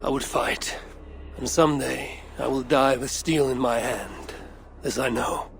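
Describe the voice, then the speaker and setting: An actor playing a battle-hardened, honourable Viking warrior in a game cutscene, measured and solemn, with a gravelly voice. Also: male voice, mid-range voice, steady tone, noisy background. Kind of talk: dramatic game cutscene acting.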